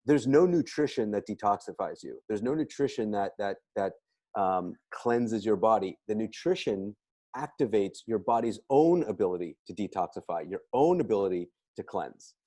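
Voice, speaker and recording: A man's voice: lowish voice, lively intonation, normal speed, mellow, no room sound. A man speaking casually and emphatically as he promotes a product, repeating phrases to drive points home.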